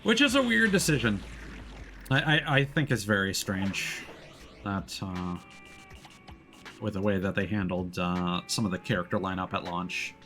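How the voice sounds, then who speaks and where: A man with a fairly low voice talks casually as he streams a game. He rambles and hesitates, working through his thoughts aloud, with music playing behind him. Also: lively intonation, unhurried, pauses often, a bit bright. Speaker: streamer, VTuber.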